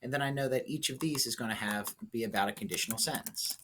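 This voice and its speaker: A man's voice: mid-range voice, fast talker, fairly bright tone, slight background noise. A man teaching a lesson, thinking aloud and coaching step by step with short check-in questions. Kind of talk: instructional lesson, thinking aloud through a writing example.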